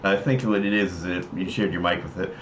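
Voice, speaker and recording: A man hosting a livestream, explaining things casually in a halting, hesitant way. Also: low voice, fairly even intonation. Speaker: livestream host. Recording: roomy echo, dull mic, noisy background.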